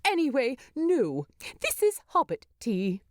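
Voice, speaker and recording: A woman acts out a wry hobbit gentleman in a storybook. His polite words carry sarcasm, and his pitch swoops about. The sound is very dry. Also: huge pitch swings, takes it slowly, dry recording, heavily acted. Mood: snarky. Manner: arch, wry, snarky. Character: polite but sarcastic, snarky male hobbit.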